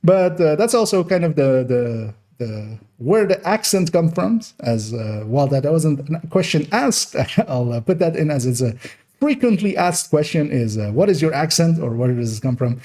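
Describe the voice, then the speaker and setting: A man's voice: fairly high pitch, sing-song, moderate pace, warm tone. A young man born in Iran and raised in the Netherlands, with Farsi as his first language and a mixed Persian, Dutch and American accent, rambling through a Q&A for his gaming channel with many fillers and restarts. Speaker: gaming YouTuber. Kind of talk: rambling Q&A monologue.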